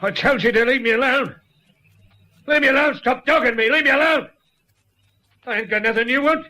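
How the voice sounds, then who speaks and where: High-pitched, jittery old Australian bushman. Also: brisk, pushed and bright. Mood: terrified pleading. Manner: rustic, ungrammatical bush speech. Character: frightened old male vagrant bushman, alone with his dog. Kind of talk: radio drama acting.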